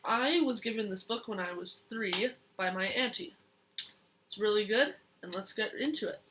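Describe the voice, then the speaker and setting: A young child reading a picture book aloud in a deliberate, expressive way. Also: mid-range voice, a little hiss or hum. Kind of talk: storybook read-aloud.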